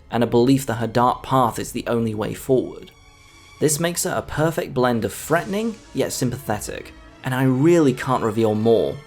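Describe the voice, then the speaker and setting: A man delivers scripted film commentary in a medium-pitched voice with lively, varied intonation. He speaks as a video essayist walking through a review, with music playing faintly underneath. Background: background music.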